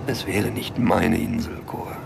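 An actor playing a cynical, nervy small-time cocaine dealer in a German crime radio drama, laconic and sardonic with slangy talk. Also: male voice, low-pitched, steady tone, moderate pace, creaky, noisy background. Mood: weary, laconic.

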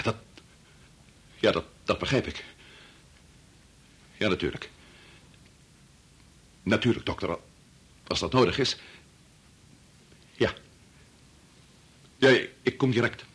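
Husky, low-voiced domineering doctor. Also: male voice, bright, forceful tone, full character voice. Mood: flustered, deferential. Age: middle-aged. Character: preoccupied, domineering male doctor-scientist, father of a grown son. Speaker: actor in a radio play. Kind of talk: Dutch radio drama acting.

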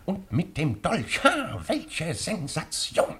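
A man's voice: a little high, huge pitch swings, slow pace, fairly bright tone, full character voice. An actor playing a genteel but bloodthirsty anarchist in a radio play, suave and relishing his words theatrically. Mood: urbane relish. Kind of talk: German radio play acting.

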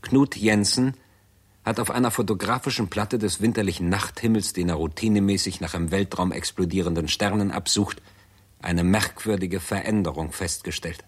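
A man narrating a 1960s German radio drama in a measured, documentary-like manner. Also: deep voice, steady tone, slightly rough.

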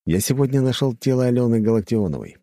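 A man's voice: mid-range voice, lively intonation, fast, dark tone, dry recording, studio quality. A man narrating first-person fiction as an audiobook reader, in a scripted storytelling style.

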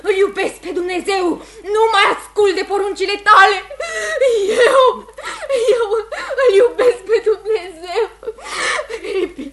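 A woman's voice: very high-pitched, fairly even intonation, fairly bright tone. An actress playing a terrified young servant woman in hysterics, frantic and wailing, in a radio theatre drama. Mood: frantic, accusing.

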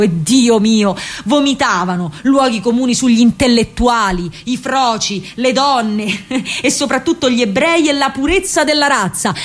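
A woman playing a disillusioned, fatherly older Roman man in a radio monologue, speaking gravely and deliberately in a hushed way with a Roman accent. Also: on the high side, lightly acted.

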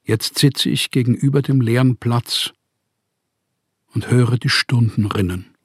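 An actor playing a weary, homeless older male writer in exile, speaking a melancholy, measured monologue in a radio drama. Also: mid-range voice, slightly rough, dry recording, studio quality. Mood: weary sorrow.

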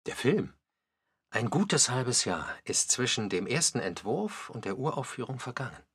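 A man's voice: mid-range voice, very animated, normal speed, a bit bright, breathy edge. A man reading scripted narration for a radio documentary drama.

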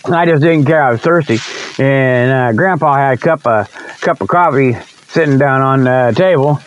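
A man's voice: medium pitch, normal speed, lo-fi sound, slight background noise. A man hosting a talk show in a rambling, folksy, repetitive monologue, meandering from one thought to the next. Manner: folksy, repetitive, meandering, often chuckles to himself. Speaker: host of a radio-style talk show. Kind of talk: rambling folksy monologue, tongue-in-cheek sales pitches.

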